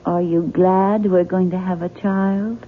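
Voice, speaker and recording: An actress playing an earnest, anxious young American wife in a radio drama, direct and probing in manner. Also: female voice, lowish voice, fairly even intonation, slow. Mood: tentative, probing. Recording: muffled, noisy 1970s radio broadcast.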